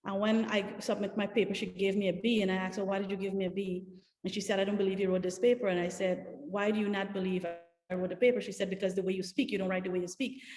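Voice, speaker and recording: A woman's voice: mid-range voice, level tone, fast, darkish tone. Guyanese woman in an echoey room. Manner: earnest, emphatic storytelling. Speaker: panelist. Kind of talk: panel discussion answer over video call. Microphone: video call. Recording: echoey room with long reverb.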